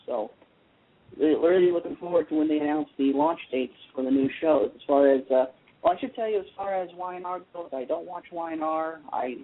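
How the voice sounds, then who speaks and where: A man chatting casually and wryly as an opinionated panelist on a fan talk show, with a husky voice. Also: on the high side. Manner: opinionated, wry. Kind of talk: casual soap opera fan chat. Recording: narrow tinny phone-line sound.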